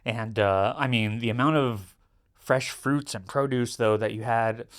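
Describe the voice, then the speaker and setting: A man from Brooklyn, New York, hosting a podcast interview, asking leading questions and often checking in with a quick "right?". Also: mid-range voice. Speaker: podcast host. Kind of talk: casual interview.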